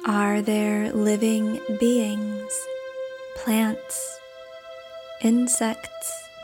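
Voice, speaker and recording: A woman reading a script as a meditation guide, with a soft, deliberate, instructional delivery. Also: mid-range voice, little pitch movement. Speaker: meditation guide and podcast host. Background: background music.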